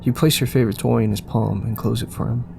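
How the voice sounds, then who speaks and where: Creaky-voiced man narrating a story. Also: mid-range voice, steady tone, quick pace, darkish tone, faint music behind. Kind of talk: scripted second-person story narration. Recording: faint background noise and ambience.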